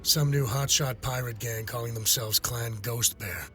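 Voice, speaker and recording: An actor playing a proud, sceptical survey overseer in a video game, with formal and dramatic delivery. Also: male voice, mid-range voice, a little slow, bright, forceful tone, faint music behind. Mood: surprised, guarded. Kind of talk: cinematic game voice acting.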